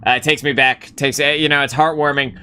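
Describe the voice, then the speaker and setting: A man's voice: medium pitch, steady tone, brisk, pushed and bright, smooth. A young man hosting a casual live gaming stream, rambling and swearing casually as he greets chat.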